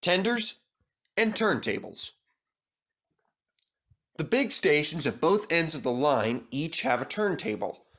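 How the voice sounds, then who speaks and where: Male storybook narrator: animated, bright delivery with dramatic, expressive phrasing. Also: on the high side, slow, pauses often. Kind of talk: scripted children's story narration.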